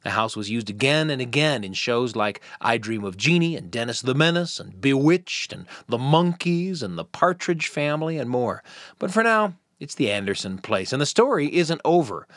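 A man's voice: medium pitch, expressive, normal speed, fairly bright tone, dry recording, studio quality. A man hosting a story podcast, narrating in a wry, conversational way. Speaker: podcast host. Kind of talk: casual scripted narration.

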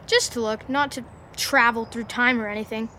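A woman's voice: on the high side, fairly even intonation, normal speed, pushed and bright, a little hiss or hum. A woman playing an earnest, inquisitive young boy, a son, in an audio drama, with a youthful voice. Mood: curious, tentative.

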